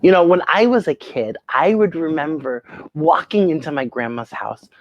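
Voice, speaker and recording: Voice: mid-range voice, animated, moderate pace, no echo, muffled recording. A children's show host talking to young viewers in a theatrical, emphatic storytelling way, drawing out words.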